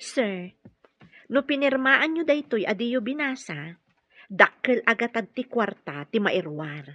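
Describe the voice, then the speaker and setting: A patient, deferential caregiver in a radio drama. Her voice is somewhat high with a husky edge, recorded dry and slightly dull. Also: lively intonation, slow, a bit bright, no echo, soft background music, heavily acted. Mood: respectful, urging. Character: earnest, deferential female nurse caregiver, patient and coaxing. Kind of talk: Ilocano radio drama acting.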